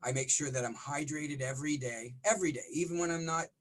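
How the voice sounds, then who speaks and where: A middle-aged man, a rock vocalist, talking in a casual interview with a smoky, raspy voice and lots of restarts. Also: fairly high pitch, quick pace. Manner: rambling, frequent restarts and fillers.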